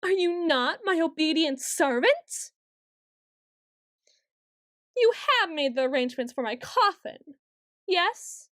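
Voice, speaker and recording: Woman acting a high-voiced, haughty vampire lady. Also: animated, slow pace, pauses often, fairly bright tone, dry recording, clean studio sound, heavily acted. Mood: petulant, demanding. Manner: imperious, dramatic, archly formal. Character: haughty, ancient tsundere female vampire, theatrical and petulant. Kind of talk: scripted ASMR roleplay monologue.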